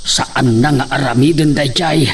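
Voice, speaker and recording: A man's voice: medium pitch, steady tone, measured pace, creaky. A man playing an earnest, protective father and leader in an audio drama, speaking firmly. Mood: troubled. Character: earnest, protective father leading his companions. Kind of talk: Ilocano radio-style drama acting. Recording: noisy with chirping insects.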